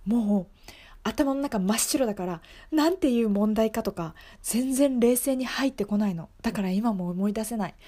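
High-voiced earnest young woman teacher , acted by a woman. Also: animated, moderate pace, mellow. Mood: desperate, animated. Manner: confiding, emphatic, repetitive storytelling. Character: earnest young female schoolteacher telling her exam story. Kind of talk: improvised radio drama monologue.

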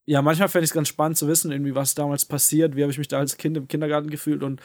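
A young man co-hosting a casual podcast, talking in a halting way with many fillers and restarts. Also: on the high side, little pitch movement, a little mellow, dry recording. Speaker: podcast co-host. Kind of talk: casual conversation. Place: living in a city abroad.

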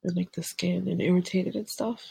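Young woman, Caribbean accent, stuffy head cold, gravelly, husky, dry close sound, casual podcast chat. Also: on the low side, fairly even intonation, brisk, dark tone, dry recording. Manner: candid, laid-back, swears casually. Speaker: podcast co-host.

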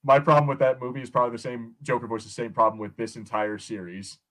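A man's voice: mid-range voice, quick pace. A man co-hosting a podcast in casual pop culture banter, with a sweary, sardonic way of talking. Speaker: podcast co-host.

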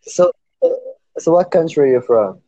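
Caribbean man, husky and lively, relaxed conversational storyteller, dry but slightly dull lo-fi audio. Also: a little high, moderate pace, no echo. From Trinidad. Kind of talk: casual chat.

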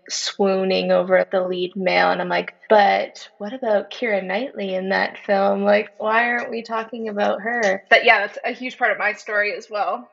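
A woman hosts an interview, asking gentle, encouraging questions with some hesitation. Her voice carries a noticeable vocal fry at a middling pitch. The audio is dull and lo-fi. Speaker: podcast host.

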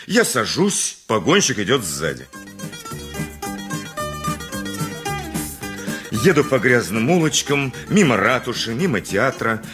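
A man's voice: mid-range voice, fairly bright tone. An actor playing a haughty, exasperated town dentist who tells his tale dramatically in a radio play. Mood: composed, self-satisfied. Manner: dramatic, haughty, emphatic storytelling. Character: self-important, exasperated male town dentist, a traveller. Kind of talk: theatrical 1980s radio play narration and dialogue.

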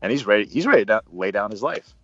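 A man's voice: on the low side, expressive, fast. A man co-hosting a film review, chatting casually and enthusiastically with gushing, emphatic repetition. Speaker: co-host of a film review show. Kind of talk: casual enthusiastic movie discussion. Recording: dull lo-fi roomy sound, music behind.

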